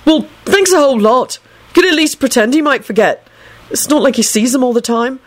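A woman's voice: a little high, sing-song, moderate pace, no room sound, voice pushed up. A woman voicing a melodramatic, easily exasperated young man in a story, with whiny, indignant delivery. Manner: whiny, indignant, theatrical complaining.

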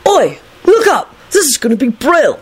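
A woman voicing a happy, excitable young boy, gleeful and exclamatory, in a story reading. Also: very high-pitched, normal speed, pitched above the performer's own voice, exaggerated voice. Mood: eager.

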